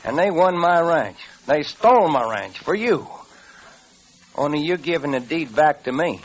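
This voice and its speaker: An actor playing an excitable, indignant young cowboy rancher in an old Western radio drama. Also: male voice, fairly high pitch, moderate pace, natural voice. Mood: angry, demanding. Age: young adult. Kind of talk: 1940s Western radio acting. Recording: noisy old radio transcription.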